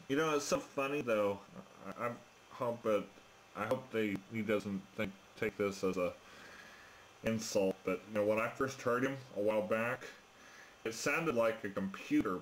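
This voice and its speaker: Male radio hobbyist, lowish voice, halting casual talk over a link with faint noise. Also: poor audio. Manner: hesitant, halting. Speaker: amateur radio operator. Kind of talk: casual ham radio chat.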